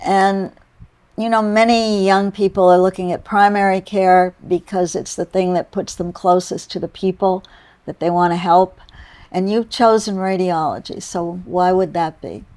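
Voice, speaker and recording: American woman host with a lowish voice. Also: fairly even intonation, normal speed, a little mellow. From United States. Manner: thoughtful, leading questions. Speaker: podcast host. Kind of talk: interview conversation.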